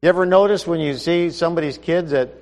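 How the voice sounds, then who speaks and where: A man with a high voice preaches a sermon, talking to his listeners like a neighbor and asking them one question after another. His voice carries through a hall with a long reverb. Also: fast talker, a little mellow. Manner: conversational preaching with rhetorical questions.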